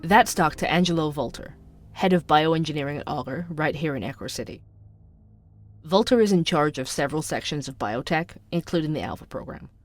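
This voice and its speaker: An actor playing a sardonic, suspicious young night-shift barista who narrates a podcast in an audio drama, wry, conspiratorial and conversational. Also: male voice, fairly high pitch, expressive, a little fast, no room sound, soft background music, close to an everyday voice. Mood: wry, scornful.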